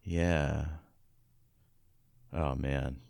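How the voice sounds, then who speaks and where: A man's voice: very deep voice, steady tone, very slow, creaky, a little mellow, dry recording, studio quality. A man co-hosting a podcast, casually discussing a book in an informal, musing way. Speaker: podcast co-host.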